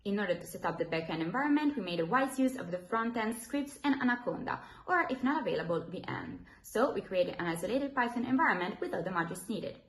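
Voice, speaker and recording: A woman's voice: mid-range voice, lively intonation, fast, hardly any pauses. A young woman, a student presenter, giving a scripted technical presentation. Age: young adult. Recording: roomy echo with faint hiss.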